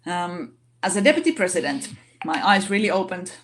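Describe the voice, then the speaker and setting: A woman speaking English as a non-native language, giving an online session talk in a halting way with many fillers and restarts. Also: fairly low pitch, big pitch swings, faint music behind. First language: not English. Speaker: organization vice president giving a talk.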